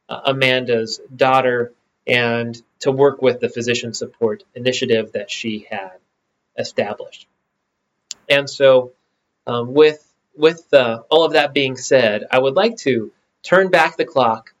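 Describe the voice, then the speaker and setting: A man's voice: mid-range voice, fairly bright tone. Earnest man with a dull lo-fi sound. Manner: earnest, reflective, slow pauses. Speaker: podcast host. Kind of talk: spoken introduction.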